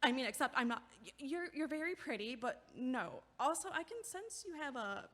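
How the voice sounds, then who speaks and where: Woman acting a high-voiced, nervously rambling young woman. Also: sing-song, normal speed, fairly bright tone, full character voice. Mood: awkward, kind. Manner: rambling, self-correcting, anxiously over-explaining. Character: nervous, rambling, over-considerate young woman bringing food. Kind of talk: audio play acting, comedic monologue. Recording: echoey hallway-like long reverb.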